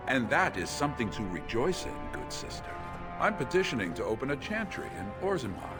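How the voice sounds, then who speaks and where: A man's voice: medium pitch, sing-song, brisk, over loud music, natural voice. A man acting as an earnest, pious missionary brother in a video game, speaking in a preachy way. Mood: devout.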